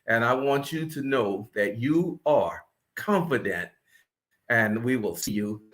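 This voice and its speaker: A man's voice: mid-range voice, expressive, takes it slowly, a bit bright. A man giving the closing remarks of a conference talk, reading out quotes. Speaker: conference speaker.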